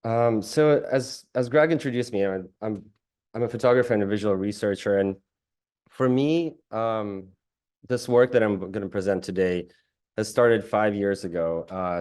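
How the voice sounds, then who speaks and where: A man in his late thirties from Russia presents his own work over a video call. His voice sits fairly low, and he speaks thoughtfully, pausing on fillers and restarting sentences as he gathers his ideas. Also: darkish tone. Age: late 30s. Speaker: guest artist giving a talk.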